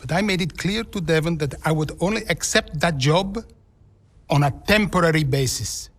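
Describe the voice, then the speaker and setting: An actor playing a devoted, earnest racing team principal, measured and emphatic, in a naturalistic game cutscene. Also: male voice, fairly high pitch, noisy background, natural voice.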